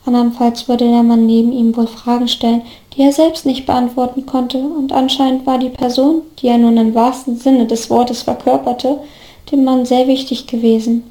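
A woman's voice: a little high, flat delivery, normal speed, mellow, echoey room. A woman narrating a scripted story.

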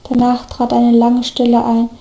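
A woman's voice: on the high side, monotone, normal speed, dark tone, echoey room. A woman narrating a scripted story.

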